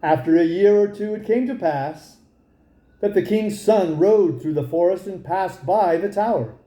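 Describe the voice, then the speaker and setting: A man reads a fairy tale in a very mellow, high-set voice for a male. He takes his time over the storybook narration, and the room adds a clear echo. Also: unhurried. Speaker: storybook reader.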